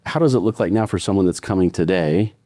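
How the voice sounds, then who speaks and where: A man's voice: deep voice, quick pace, mellow, no echo, studio quality. A man hosting a podcast who asks questions and shares his own stories in a casual, enthusiastic way, repeating words as he goes. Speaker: podcast host. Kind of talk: conversational podcast interview.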